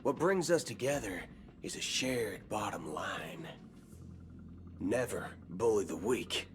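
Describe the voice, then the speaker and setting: An actor playing a swaggering, wry cowboy gunslinger in a video game, talking in folksy slang. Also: male voice, lowish voice, lively intonation. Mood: drawling. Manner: folksy slang, slow drawling delivery. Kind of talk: video game cutscene acting. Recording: game audio with background music.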